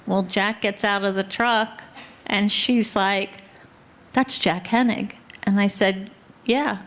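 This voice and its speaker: A woman at a memorial gathering, telling a story in a loud, chatty way. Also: mid-range voice, fairly even intonation, slow. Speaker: memorial speaker. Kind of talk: casual storytelling. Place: outdoor barn gathering.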